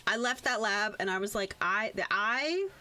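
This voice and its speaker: A young adult woman chatting casually on a livestream, rambling in an animated way and swearing freely. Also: mid-range voice, normal speed, a little hiss or hum. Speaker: VTuber live streamer. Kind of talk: casual chat on a game livestream.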